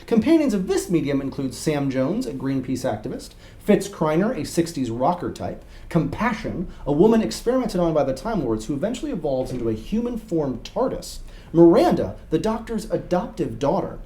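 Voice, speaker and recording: A young man presents a scripted explainer as co-host. His voice is mellow in tone, and his pitch moves around a great deal as he talks to camera. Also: sing-song, moderate pace. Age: young adult. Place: small indoor room set with whiteboard.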